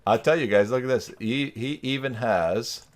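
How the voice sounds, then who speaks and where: A man's voice: medium pitch, normal speed. A man hosting a casual video call chat, joking and teasing as he talks. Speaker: live stream host.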